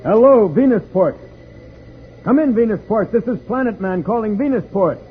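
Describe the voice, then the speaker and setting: A man playing a calm, reassuring spaceman hero in an old-time radio serial, speaking with clear projection. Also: sits high, normal speed, faint music behind. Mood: urgent calling. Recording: noisy, narrow-band vintage radio recording.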